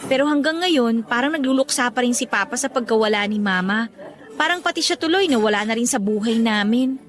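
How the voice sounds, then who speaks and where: A woman's voice: fairly high pitch, lively intonation, brisk, faint music behind, close to an everyday voice. A woman acting in a radio drama as a burdened young working woman, talking in an earnest, conversational way. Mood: weary, resentful.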